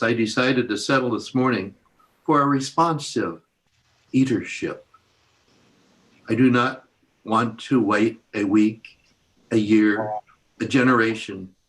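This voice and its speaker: A man reading a poem aloud in a measured, plain style. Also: mid-range voice, fairly bright tone, poor audio. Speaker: reader.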